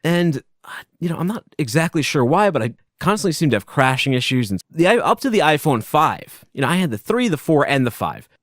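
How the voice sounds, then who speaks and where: Lively man chatting on his podcast. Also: on the high side, fairly quick, no room sound, clean studio sound. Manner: animated, rambling, self-interrupting, chuckles often; loud voice. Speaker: podcast host. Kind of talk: casual solo podcast talk. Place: home basement.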